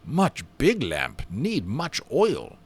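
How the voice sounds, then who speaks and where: A man voicing a tiny, proud, suspicious man from a storybook, in gruff, terse broken speech. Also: medium pitch, huge pitch swings, very slow, voice pushed up, exaggerated voice. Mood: puzzled, awed. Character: tiny proud suspicious Native man, a living toy figure.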